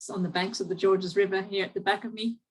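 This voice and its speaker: A woman's voice: mid-range voice, little pitch movement, quick pace, breathy edge, low-quality recording. A woman researcher greeting everyone enthusiastically on a video call. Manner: warm, enthusiastic.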